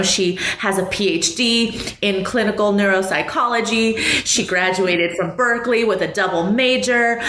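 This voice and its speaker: A woman's voice: mid-range voice, a bit bright, reverberant. A woman in her thirties from Taiwan, a guest on an interview, talking casually and reflectively and laughing lightly as she goes. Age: 30s. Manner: chatty and reflective, laughs lightly mid-sentence. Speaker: podcast guest, professional poker player.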